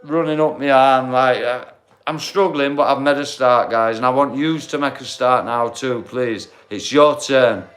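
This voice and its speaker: A man's voice: medium pitch, a little slow, fairly bright tone. A man in his forties hosting a casual livestream, talking bluntly and swearing, calling his viewers mate and bro. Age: 40s. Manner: blunt, matey, swears, calls everyone mate. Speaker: livestream host. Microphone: phone.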